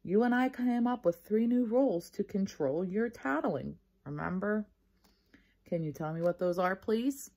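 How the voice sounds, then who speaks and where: A patient male first-grade teacher from a storybook, as a woman reads him. The voice is husky and measured, praising pupils while staying gently firm. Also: mid-range voice, expressive, voice pushed up.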